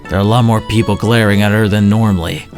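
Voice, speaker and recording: A man's voice: fairly low pitch, fairly even intonation, fast talker, music in the background, lightly acted. A man playing a dutiful, reserved young ninja guard in a fan-made anime audio drama.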